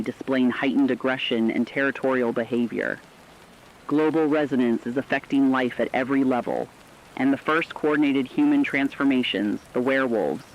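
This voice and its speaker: A man playing an earnest radio co-anchor, giving scripted, urgent warnings in a serious, deliberate manner. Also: medium pitch, dull audio, a little hiss or hum, lightly acted. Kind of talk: scripted dramatic news narration.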